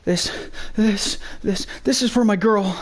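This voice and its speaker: A man's voice: high-pitched, slow, pitched above the performer's own voice. A man voicing a frightened young man in a story, stammering and hesitant. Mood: shaky resolve. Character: frightened young man roped into a deadly heist.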